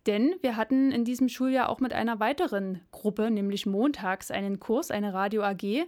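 A woman's voice: on the high side, normal speed, darkish tone. A woman presenting a school radio show, making friendly announcements with a few filler sounds.

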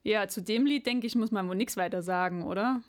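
A woman's voice: mid-range voice, fairly quick, faint music behind. A woman co-hosting a radio show in a casual presenting style.

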